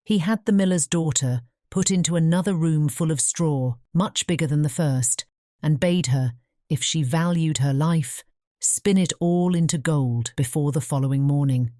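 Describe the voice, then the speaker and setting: A woman's voice: deep voice, normal speed, dark tone, no room sound, studio quality. A woman with a British English accent reading scripted fairy tale narration as an audiobook narrator.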